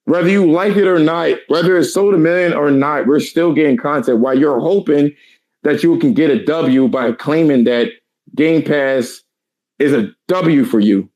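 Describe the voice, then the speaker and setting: Hoarse thirty-something guy ranting hard, swears a lot, kinda high voice, raspy from no sleep. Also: normal speed, darkish tone, low-quality recording. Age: 30s. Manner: profane, ranting, emphatic. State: tired, hoarse voice. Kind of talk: heated casual chat.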